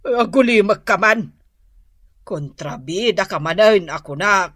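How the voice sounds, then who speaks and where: A woman playing a middle-aged rural mother in a comedy radio drama, with an Ilocano accent and a drawn-out, hesitant, exclaiming way of talking. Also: medium pitch, lively intonation, fairly bright tone, no room sound, clean studio sound. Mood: defensive. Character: whiny, wheedling rural mother, easily flustered, laughing.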